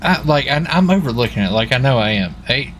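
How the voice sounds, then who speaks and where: A man's voice: medium pitch, fast talker. A man chatting casually in a roleplay game as a US Marshal, rambling and repeating himself. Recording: steady game vehicle noise behind voice. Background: game car and city sounds.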